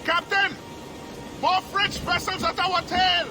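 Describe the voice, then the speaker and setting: A high-voiced loyal pirate mate. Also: male voice, little pitch movement, takes it slowly, over music. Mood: alarmed. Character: loyal male pirate first mate, urgent. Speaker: first mate of the ship.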